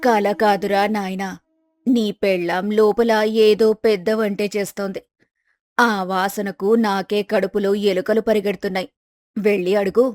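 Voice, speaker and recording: A woman voicing a stern, fed-up old village grandmother who scolds emphatically in an animated moral story. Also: medium pitch, moderate pace, no room sound, lightly acted. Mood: sly coaxing. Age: older. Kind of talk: acted moral story dialogue.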